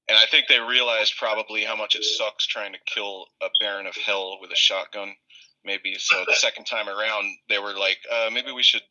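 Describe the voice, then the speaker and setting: A man's voice: fairly low pitch, pushed and bright, muffled recording. A man from New York State, chatting as a podcast guest in a laid-back, swearing, casual way. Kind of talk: casual group podcast chat.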